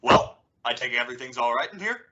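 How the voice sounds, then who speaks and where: A man's voice: mid-range voice, animated, quick pace, sharp tone. A man playing a peacemaking father in an old-time radio comedy, reasoning in an emphatic, fatherly way. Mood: conciliatory, firm. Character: peacemaking father of a teenage girl. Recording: dull, band-limited audio.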